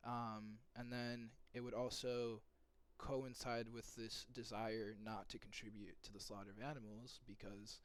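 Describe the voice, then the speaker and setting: A man's voice: lowish voice, little pitch movement, unhurried. A young man from Somalia hosting a casual call, hesitant and persuasive, full of ums and likes. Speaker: host. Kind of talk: casual debate on a video call.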